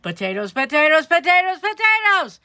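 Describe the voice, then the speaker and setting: Woman as loud, high-pitched hungry soldiers demanding. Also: big pitch swings, moderate pace, dry recording, exaggerated voice. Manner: chanting, demanding shouts. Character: hungry army of soldiers, loudly demanding food.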